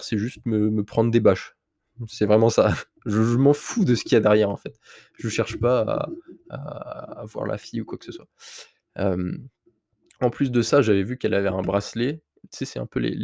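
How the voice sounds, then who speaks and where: A man with a low, gravelly voice and a mellow, dark tone, recorded dry and close. He has a slight cold and tells his podcast listeners a personal story in a candid, rambling way. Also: no echo. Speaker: podcast host. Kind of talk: casual solo podcast monologue.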